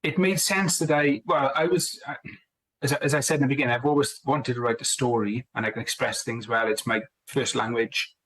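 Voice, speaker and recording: A man's voice: medium pitch, fairly even intonation, fairly quick, a bit bright, rough recording. A man co-authoring a book, chatting casually in an interview and often restarting his sentences. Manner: rambling, restarts sentences, friendly. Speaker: co-author guest.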